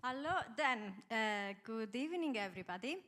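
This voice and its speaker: A woman in her thirties with an Italian accent introducing herself on a panel, pausing often with filler sounds. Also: fairly high pitch, animated, a bit bright. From Italy. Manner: hesitant, many filler sounds. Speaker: panelist, architect.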